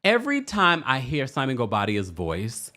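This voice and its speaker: A man hosting a casual celebrity news stream, chatty and animated, with a deep voice and frequent laughs. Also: normal speed. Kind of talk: casual commentary livestream.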